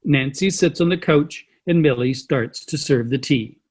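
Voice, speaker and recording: A man with a husky voice narrates a play reading. He reads stage directions in a scripted, descriptive way. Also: a little high, unhurried. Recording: video call play reading.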